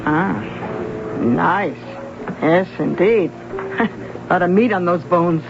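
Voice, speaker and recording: A streetwise barkeep who needles a cop with sly jokes, his voice pitched high for a man. It comes through a dull, lo-fi old radio broadcast with music underneath. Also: drawn-out words, vocal fry, heavily acted. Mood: teasing. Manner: teasing, jokey, streetwise. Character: sly wisecracking male bartender Mums. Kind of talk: 1970s radio drama acting.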